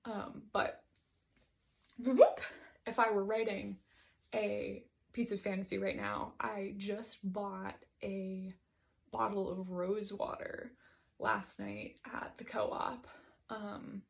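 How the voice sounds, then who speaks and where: A woman's voice: mid-range voice, steady tone, slow pace, gravelly. A woman giving a reflective solo monologue, hesitant and digressive as she thinks aloud about her writing. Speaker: host reading and discussing own writing.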